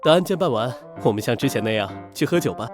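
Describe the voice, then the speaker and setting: A man voicing a courteous, affable knight officer in an animated drama, polite and formal in his delivery. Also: medium pitch, fast talker, close to an everyday voice. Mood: friendly. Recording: loud music behind. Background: music.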